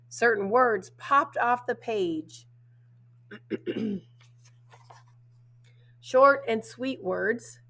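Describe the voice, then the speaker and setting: Raspy woman reading aloud slowly. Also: a little high. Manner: slow, deliberate read-aloud with stressed words. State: hoarse from a cold. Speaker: teacher. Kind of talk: reading a picture book aloud to children.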